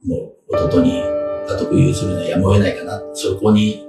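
A man in his sixties giving conversational explanations as a guest expert, often pausing as he reasons aloud. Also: deep voice, steady tone, loud music behind the voice. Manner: halting, frequent pauses while reasoning aloud. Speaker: guest historian. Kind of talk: explanatory interview answers, conversational. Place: small indoor room, seated at a table. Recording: roomy, distant mic, faint noise.

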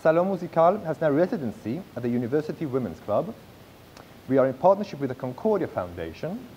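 A man in his thirties presenting a business pitch from a script on stage, with occasional slips and restarts. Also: big pitch swings, quick pace, warm tone, slight background noise. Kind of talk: read-aloud business pitch. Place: large theatre stage.

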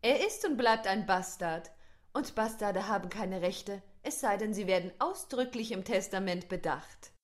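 A woman's voice: medium pitch, unhurried, lightly acted. Haughty, scornful lady played by a woman. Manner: haughty, mocking. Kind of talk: German audio drama acting.

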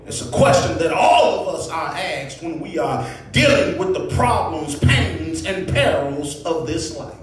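A man's voice: fairly high pitch, big pitch swings, a little hiss or hum. A man preaching a sermon in an impassioned, oratorical way, using rhetorical repetition and addressing his congregation. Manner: impassioned, oratorical, builds from measured phrases to shouts. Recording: echoey hall, distant microphone.

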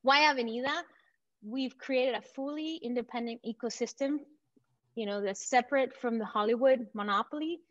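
Confident woman pitching over dull video-call audio. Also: normal speed, dry recording. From Venezuela. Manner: confident, enthusiastic, promotional. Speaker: company founder pitching to investors. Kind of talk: startup pitch presentation.

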